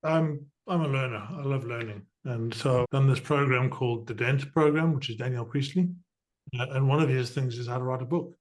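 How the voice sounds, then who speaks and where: Middle-aged podcast host, mid-pitched voice. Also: male voice, brisk. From southern Africa. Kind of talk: conversational interview.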